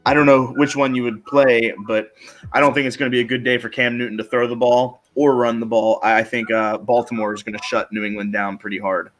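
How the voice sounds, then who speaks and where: Man, medium pitch, casual livestream panelist, halting, informal, thinking aloud, sports advice. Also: flat delivery, faint music behind. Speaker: panelist giving fantasy football advice.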